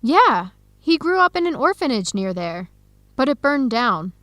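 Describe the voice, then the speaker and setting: A young woman playing an earnest researcher searching for her birth family in an educational radio drama, speaking clearly and conversationally. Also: high voice, sing-song, unhurried, fairly bright tone, dry recording, exaggerated voice. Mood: excited, matter-of-fact. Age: young adult.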